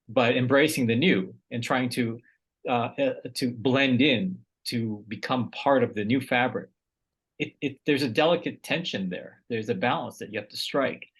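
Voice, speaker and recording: A man's voice: mid-range voice, slightly rough. A man from Vietnam, a researcher guest in a casual interview, speaking thoughtfully and often repeating words as he searches for the right phrasing.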